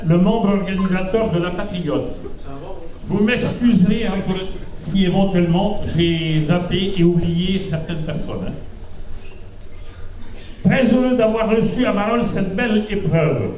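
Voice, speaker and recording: A man with a high, mellow voice reads out formal thanks in measured phrases. He sounds echoey and away from the microphone. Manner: measured, listing names. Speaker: town mayor. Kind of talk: prepared formal thank-you speech. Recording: echoey room, distant microphone.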